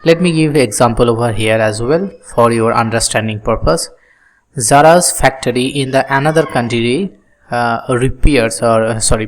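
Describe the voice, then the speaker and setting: Tutorial instructor, medium-pitched voice, explanatory and methodical, with halting phrasing. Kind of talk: explanatory software tutorial lecture with examples.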